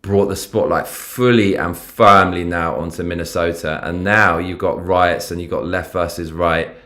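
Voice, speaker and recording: A man's voice: low-pitched, steady tone, moderate pace, fairly bright tone. A man in his forties with a London accent, hosting a rambling, profane political livestream and reading out chat comments. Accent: London English. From London, England. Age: 40s.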